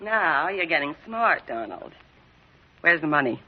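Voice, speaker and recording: A woman's voice: low-pitched, big pitch swings, unhurried, noisy background. An actress playing a scheming, sarcastic female racketeer in 1940s radio crime drama, brassy, slangy and needling. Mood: coolly threatening. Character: hard-boiled, scheming, sarcastic racketeer woman. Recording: dull vintage radio recording.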